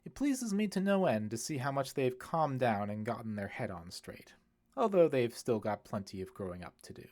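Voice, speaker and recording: A man voicing a kindly, musing priest in an audiobook, speaking in a reflective, explanatory way with gentle wit. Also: mid-range voice, sing-song, moderate pace, lightly acted. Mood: fond, earnest. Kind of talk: audiobook character dialogue.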